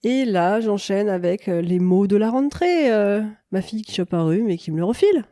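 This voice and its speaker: A woman in her forties chatting casually on a podcast, relaxed and joking. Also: medium pitch, moderate pace, dry recording, studio quality. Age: 40s. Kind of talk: casual chat.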